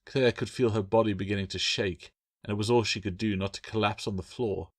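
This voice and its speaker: A man's voice: on the low side, steady tone, a little fast, no room sound, clean studio sound. A man narrating a fantasy novel as its author, in a scripted audiobook reading.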